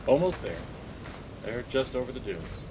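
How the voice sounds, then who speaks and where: An actor playing a stiff, evasive man who briskly urges others along, in audio drama dialogue. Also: medium pitch, sing-song, dark tone, natural voice. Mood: insistent, evasive. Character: stiff, evasive man with a vice-principal air, leading others on. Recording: noisy outdoor beach ambience. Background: outdoor ambience.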